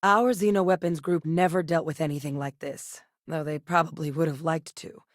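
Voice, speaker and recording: An actress playing a composed, earnest woman scientist with a military past in a video game, speaking in a measured, serious, articulate way. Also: sits low, fairly even intonation, dry recording, studio quality, natural voice. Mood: earnest, focused. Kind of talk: scripted game dialogue, naturalistic acting.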